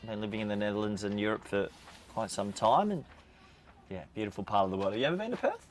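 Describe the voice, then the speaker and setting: A man's voice: lowish voice, lively intonation, fast, a little hiss or hum. An Australian man doing live cricket commentary, chatty and joking with his co-commentator while reading out viewer questions.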